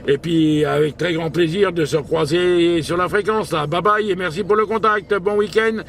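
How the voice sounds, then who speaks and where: A man with a fairly high voice chats casually as a radio operator. His delivery is informal and hesitant, sprinkled with slang. He is recorded outdoors with a light layer of background noise. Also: steady tone, moderate pace, a bit bright. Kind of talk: casual radio contact chat. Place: outdoors, mobile radio spot by a vehicle. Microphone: handheld camera picking up the voice. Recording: faint outdoor and vehicle noise.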